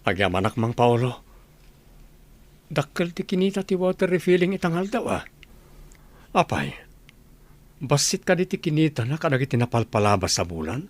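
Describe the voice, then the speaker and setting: Brief: slightly high voice, troubled family man in a radio play. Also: sing-song, normal speed, pauses often. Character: young husband and father, troubled.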